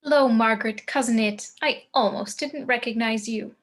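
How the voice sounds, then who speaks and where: Woman as poised, higher-voiced gothic matriarch. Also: moderate pace, lightly acted. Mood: gracious. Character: elegant, composed, darkly witty gothic matriarch. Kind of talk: amateur table read of a film comedy.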